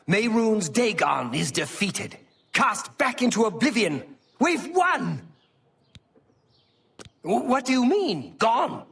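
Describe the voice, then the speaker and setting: An actor playing an earnest, formal imperial chancellor in a fantasy game, with declamatory and emphatic delivery. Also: male voice, high-pitched, a bit bright, far from the mic, heavily acted. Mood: excited, puzzled. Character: earnest imperial high chancellor, formal, stately, concerned statesman. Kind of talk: dramatic fantasy game acting.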